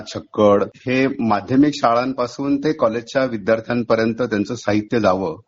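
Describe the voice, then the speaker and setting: A man's voice: lowish voice, highs cut off. A man speaking as an event organiser, giving a formal, explanatory spoken report.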